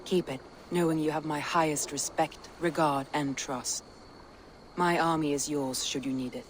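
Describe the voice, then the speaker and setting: Actress as an earnest, low-voiced Viking woman leader. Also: fairly even intonation, normal speed, slight background noise, natural voice. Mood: grateful. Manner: earnest, formal. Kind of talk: dramatic video game acting.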